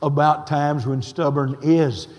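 An older man, preaching a sermon in a folksy, unhurried way with a hoarse, scratchy voice. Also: on the high side, fairly even intonation, a little mellow. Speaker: pastor. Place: church sanctuary. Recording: long reverb of a church hall.

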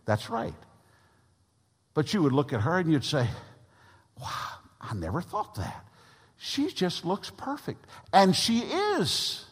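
A man preaching a sermon to his congregation, with a big voice that swings between loud and soft emphasis. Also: a little high, slightly rough. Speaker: pastor. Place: church sanctuary. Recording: long reverb of a large church hall.

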